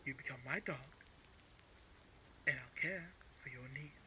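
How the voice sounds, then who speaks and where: An actor playing a smug, menacing male crime boss in an audio roleplay, speaking in a mocking, condescending and deliberate way. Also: medium pitch, sharp tone, a little hiss or hum, poor audio. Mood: coolly menacing. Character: smug, menacing male crime-organization villain, coolly coercive. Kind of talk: scripted audio roleplay villain acting.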